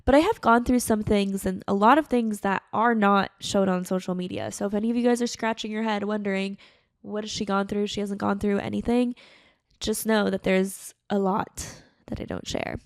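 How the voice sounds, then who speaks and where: Woman in her late twenties, creaky voice, dead dry close mic, chatty, earnest, rambling podcast talk. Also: medium pitch, fairly even intonation, normal speed, dry recording. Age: late 20s. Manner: chatty, earnest, heavy on fillers. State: tearful, emotional. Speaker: podcast host. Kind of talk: casual solo podcast monologue.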